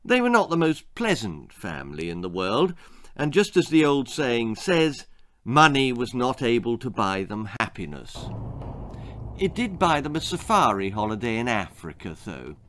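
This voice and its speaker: A man's voice: medium pitch, very animated, moderate pace, fairly bright tone. A British man in his sixties reading a children's story aloud in a theatrical, arch manner. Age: 60s. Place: small book-lined room indoors.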